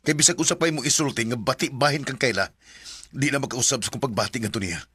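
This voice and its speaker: A man acts out a devoted young groom in a radio play, earnest and firm. His voice is husky and bright, at a middle pitch. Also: fast talker, no room sound. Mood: pleading. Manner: earnest, soft-spoken in love scenes. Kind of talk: radio drama acting.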